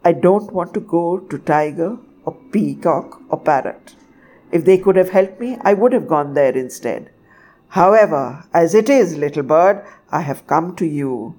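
A woman voicing a mighty male sun in a storybook, soft and golden in tone, calm, solemn and gently commanding. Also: low-pitched. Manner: slow, measured, grave.

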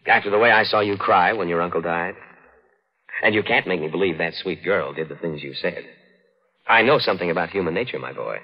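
A man's voice: low voice, brisk, husky. An actor playing a kindly, assured, avuncular policeman in 1940s radio drama style. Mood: paternal, dismissive. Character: kindly paternal policeman, disbelieving and reassuring. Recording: dull old radio recording.

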